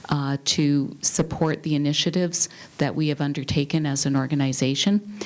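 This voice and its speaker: A woman's voice: deep voice, darkish tone. A woman, a community group co-founder, methodically reporting results on a panel. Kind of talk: panel discussion. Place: university event hall.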